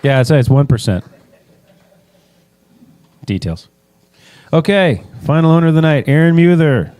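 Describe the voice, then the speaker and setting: A man's voice: a little high, expressive, a little slow, darkish tone, smooth. A man hosting a meeting and introducing speakers with informal, offhand, joking announcements. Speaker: meeting host introducing candidates.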